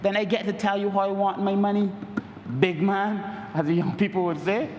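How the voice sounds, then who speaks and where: A man's voice: little pitch movement, moderate pace, a little mellow, highs cut off, slight background noise. A man with a Barbadian accent giving an emphatic parliamentary speech, repeatedly addressing the Speaker. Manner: oratorical, repeats "Mister Speaker, sir" as a refrain; emphatic, rises in pitch on key points. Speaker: member of parliament. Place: large parliament chamber. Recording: echoey chamber with long reverb.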